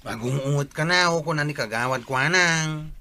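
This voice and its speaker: Comedy skit: conversational, wry Filipino village man, acted by a man, Ilocano accent, forceful and slightly high-pitched. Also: normal speed. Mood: questioning. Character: casual village man relaying neighbours' complaints. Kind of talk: amateur comedy skit acting.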